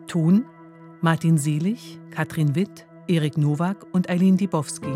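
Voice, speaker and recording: Formal woman announcer voiced by an actress for scripted radio-drama credits, deep register, loud new-age music bed. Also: close to an everyday voice.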